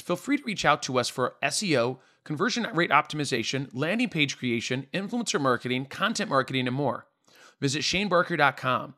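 A man's voice: a little high, pushed and bright. A man hosting a podcast, chatting casually and upbeat with his guest, full of asides. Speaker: podcast host. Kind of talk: casual interview chat.

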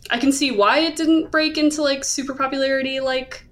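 A woman's voice: sits high, fairly even intonation, brisk. A woman hosting a podcast, talking casually about comics in a hesitant, self-qualifying way. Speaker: podcast host. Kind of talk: casual discussion of manga.

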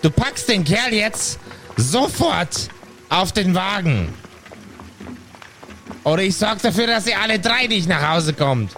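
A man's voice: high voice, very animated, takes it slowly, long pauses, pushed and bright, soft background music, exaggerated voice. A man voicing a blunt, bossy satyr brute, cynical and menacing, in an improvised fantasy audio play. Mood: threatening.

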